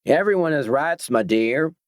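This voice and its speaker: A man's voice: mid-range voice, lively intonation, normal speed, a little mellow, no room sound, close to an everyday voice. A man reading a story, voicing a menacing biker gang leader who talks in a soothing, mock-polite, folksy way with ungrammatical speech.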